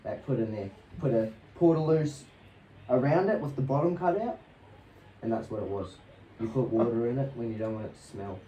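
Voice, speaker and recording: A man's voice: mid-range voice, expressive, brisk, mellow, dull audio. A young man from New Zealand and Australia telling casual, rambling stories as a podcast guest in a laid-back, slangy, sweary way. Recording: roomy echo, distant mic, faint noise.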